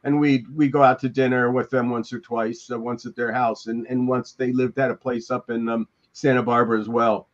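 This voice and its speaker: An older man reminiscing casually in a halting, rambling storytelling manner on a video call. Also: mid-range voice, little pitch movement. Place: indoor room on a video call. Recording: dull, lo-fi call audio.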